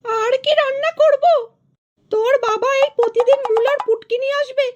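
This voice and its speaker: An exasperated cartoon mother with a tiny, extremely high voice. She grumbles and complains as she talks to her family in a comic style. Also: steady tone, moderate pace, dull audio. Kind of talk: comedy cartoon dialogue.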